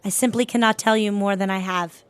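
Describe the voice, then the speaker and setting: A woman's voice: mid-range voice, steady tone, a little fast, clean tone, lightly acted. A woman playing a guarded, dignified lady in an audio drama, speaking in a composed, formal and reserved way. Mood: curt, firm. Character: guarded, curt, dignified divorced working woman.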